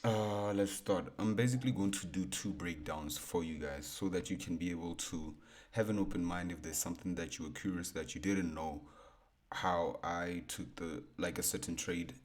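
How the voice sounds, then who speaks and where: A young man with a baritone voice casually talking through a chart breakdown, laid-back and repeating himself as he thinks aloud. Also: normal speed. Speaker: forex trading educator.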